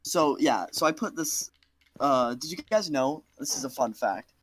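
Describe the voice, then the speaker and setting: A man's voice: medium pitch, lively intonation, measured pace, fairly bright tone, a little hiss or hum. A man chatting casually and jokingly with a group on a gaming livestream. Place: online group voice call.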